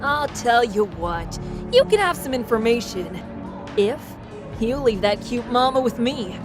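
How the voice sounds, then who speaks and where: A woman's voice: fairly high pitch, big pitch swings, measured pace, music in the background. Impish little demon boy, done by a woman, drawly and teasing, voice swoops around, audio drama. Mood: sly, bargaining. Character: impish winged demon boy, sly, teasing information broker.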